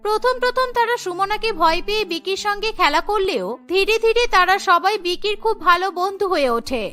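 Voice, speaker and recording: A woman's voice: very high voice, expressive, a little fast, sharp tone, faint music behind. A woman narrating a scripted cartoon story.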